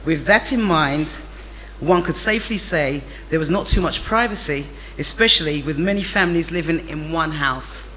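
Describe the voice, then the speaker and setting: A middle-aged man, reading a childhood memoir in an animated, amused way. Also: a little high, normal speed, a little hiss or hum, low-quality recording. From England.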